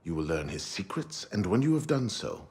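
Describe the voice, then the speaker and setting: A man's voice: sits low, very animated, normal speed, a little mellow. A man playing a stern, dignified leader of a secret assassin order in a game, formal and authoritative. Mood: solemn. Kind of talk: dramatic video game dialogue.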